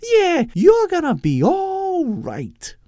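A man's voice: very high-pitched, huge pitch swings, takes it slowly, mellow, no room sound, clean studio sound, voice pushed up, exaggerated voice. A man voicing a brash, aggressive little man with a pushy, mocking way of talking, in an audiobook story. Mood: approving.

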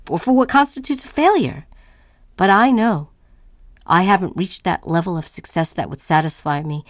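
A woman's voice: mid-range voice, no room sound, clean studio sound, lightly acted. A woman performing a restless, anxious artist's first-person monologue in a brooding, emphatic, theatrical way. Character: restless, anxious, self-questioning woman artist, neither old nor young.

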